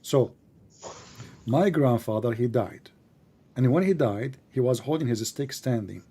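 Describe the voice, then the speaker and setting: Dark-voiced man, teasing debater. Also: mid-range voice, expressive, measured pace, breathy edge. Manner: teasing, sarcastic, questioning in plain broken English. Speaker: debate host. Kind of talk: live online debate, conversational.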